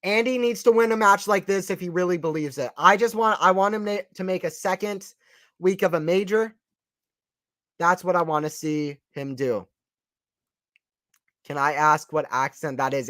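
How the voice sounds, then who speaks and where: Slightly southern american guy doing live tennis play-by-play, high voice, dry close sound, gets excited on big rallies. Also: normal speed, no room sound. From Atlanta, Georgia, US. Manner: excitable, rises sharply on big points; casual, chatty, answers chat questions. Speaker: play-by-play stream commentator. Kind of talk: live play-by-play commentary on a watchalong stream.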